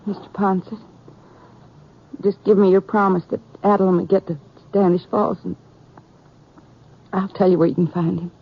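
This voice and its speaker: An anxious frontier wife of a fugitive in a 1950s radio western. She pleads haltingly in a medium-pitched voice on a muffled old recording. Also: little pitch movement, gravelly, breathy edge. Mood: anxious, pleading. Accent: American.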